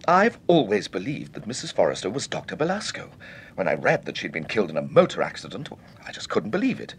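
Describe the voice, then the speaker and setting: An actor playing a shaken, insistent witness in a British radio serial, earnest and halting, with Received Pronunciation. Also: male voice, on the low side, huge pitch swings, a little hiss or hum. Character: shaken, insistent well-bred male witness under questioning.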